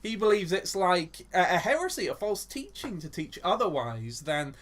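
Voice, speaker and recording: A man's voice: high-pitched, very animated, moderate pace, a bit bright. An enthusiastic man with an English accent, chatting about church history as a co-host, with lots of restarts. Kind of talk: casual Bible teaching chat.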